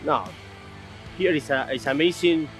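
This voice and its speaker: Hesitant Argentine polo player. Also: male voice, on the high side, measured pace, a bit bright. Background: guitar music.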